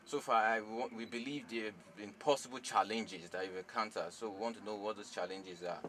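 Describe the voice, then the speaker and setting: A man's voice: on the low side, a little fast, bright, forceful tone, slight background noise. A man interviewing an artist, asking formal questions politely and a bit hesitantly, recorded in a small studio. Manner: polite and deferential, hesitant with ums. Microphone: handheld mic.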